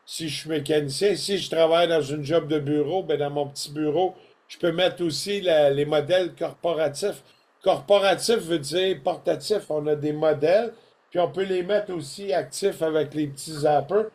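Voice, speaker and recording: An older man with a Québécois French accent talks in a folksy, animated way, full of colloquial asides. His voice sits a bit high for a man. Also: normal speed. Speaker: co-host presenting products. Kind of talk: casual podcast chat.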